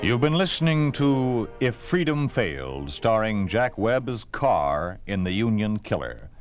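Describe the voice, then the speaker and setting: A man's voice: fairly low pitch, sing-song, unhurried, soft background music. An American man announcing from a script in formal, solemn, emphatic 1950s radio style. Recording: hissy, noisy 1950s radio recording.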